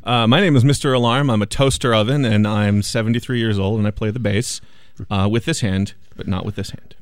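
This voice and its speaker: A man, a band's bassist, making deadpan absurd jokes in a radio studio interview. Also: brisk, clean tone, no room sound. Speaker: band bassist.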